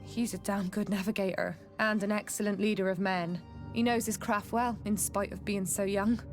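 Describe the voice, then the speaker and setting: A woman's voice: medium pitch, steady tone, moderate pace, natural voice. An actress playing a plain-spoken, loyal female sailor in a fantasy game, frank and colloquial. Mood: confiding. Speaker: voice actor in a video game. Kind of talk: scripted fantasy game dialogue. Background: music.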